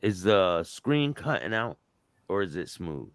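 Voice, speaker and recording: A man's voice: low-pitched, very animated, unhurried, a bit bright, no echo. A man hosting a casual live chat in a slangy, joking, streetwise way. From Connecticut, United States. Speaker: host.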